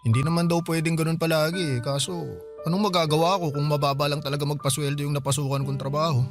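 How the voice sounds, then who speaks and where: A man's voice: on the high side, little pitch movement, fast talker, over music, natural voice. An actor playing a broke young man who pleads with his older sister in a radio drama, defensive and whiny. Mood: explaining. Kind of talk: Filipino radio drama acting.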